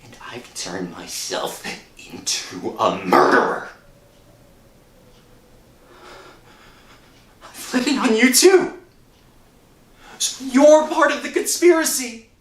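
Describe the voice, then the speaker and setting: A man's voice: extremely high pitch, very animated, slow pace, full character voice. An actor playing a desperate, guilt-ridden young man in a melodramatic 1960s radio thriller. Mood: bitter, anguished. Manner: melodramatic, anguished, swinging from hushed to shouting. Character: desperate, guilt-ridden poor young man, thief, narrating his ordeal. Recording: roomy old radio recording with music.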